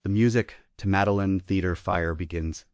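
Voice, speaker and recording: A man's voice: low-pitched, moderate pace, darkish tone, dry recording, studio quality. A man giving scripted narration, reading out the stage directions of a play.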